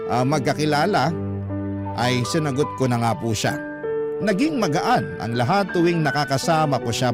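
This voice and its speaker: Male storyteller over prominent music. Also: a little high. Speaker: radio host reading listener letters. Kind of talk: radio-style scripted love-story narration.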